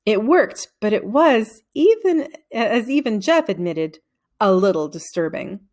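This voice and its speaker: A woman narrating a children's novel aloud in an expressive storytelling way. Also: medium pitch, unhurried. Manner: expressive storytelling with acted dialogue.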